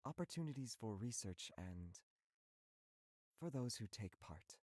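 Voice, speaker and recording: An actor playing a reserved young physician and researcher in a visual novel, speaking in a measured, formal, almost rehearsed way. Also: male voice, medium pitch, sing-song, darkish tone, no room sound, studio quality. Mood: reserved, polite. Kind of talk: visual novel character acting, formal speech.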